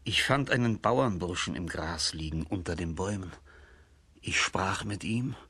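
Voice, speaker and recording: An actor playing a frail old blind tsar in a children's radio play, speaking solemnly with a husky, weak voice. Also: male voice, low-pitched, moderate pace, sharp tone, dry recording, full character voice. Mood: solemn, yearning. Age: older. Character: old, blind, sick, wise tsar and father.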